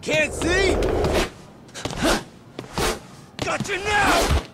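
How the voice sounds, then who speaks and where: An actor playing a cocky young male high school gang leader in an English game dub, mocking, swaggering and slangy. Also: steady tone, takes it slowly. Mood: aggressive, furious. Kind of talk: English video game dub cutscene acting. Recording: music under the voice.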